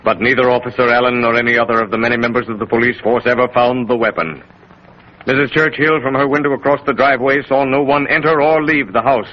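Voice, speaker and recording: Man playing an ominous storyteller, solemn, deliberate, scripted 1930s radio narration, dull noisy recording. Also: medium pitch, fairly even intonation, natural voice. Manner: dramatic, deliberate.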